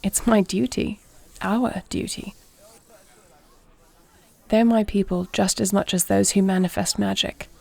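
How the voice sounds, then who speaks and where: A woman playing a duty-bound warrior queen and tender wife in an intimate audio roleplay, speaking in a measured, earnest, formal way. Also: mid-range voice, steady tone, vocal fry, darkish tone. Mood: resolute, solemn. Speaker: voice actor.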